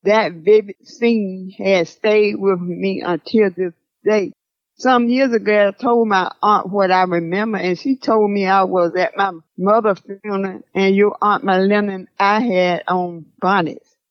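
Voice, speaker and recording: A woman's voice: medium pitch, fairly even intonation, unhurried, no echo, close to an everyday voice. A woman playing a troubled older grandmother, reflective and formal, in an audio drama with slightly muffled sound. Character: troubled grandmother recalling her mother's death.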